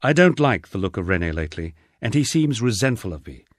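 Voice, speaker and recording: A man's voice: fairly low pitch, big pitch swings, a little fast, no room sound. A man reading a first-person diary-style memoir aloud with dry, understated storytelling. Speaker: audiobook narrator.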